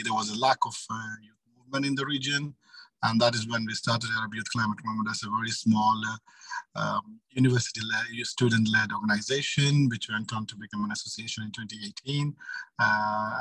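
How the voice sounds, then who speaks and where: A man in his thirties speaking informally as a youth organization leader on a video call, with halting, rambling phrasing. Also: fairly low pitch, a bit bright, husky. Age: 30s. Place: small indoor room, video call. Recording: roomy sound, distant from mic.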